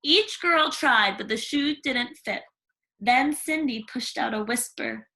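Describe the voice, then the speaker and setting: A woman reading a children's story aloud in an expressive, theatrical way with dramatic pauses. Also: a little high. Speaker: storybook reader.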